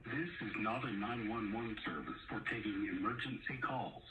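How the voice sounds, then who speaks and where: A man reading a scripted, formal automated phone menu announcement with measured enunciation. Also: lowish voice. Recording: phone menu recording with faint noise.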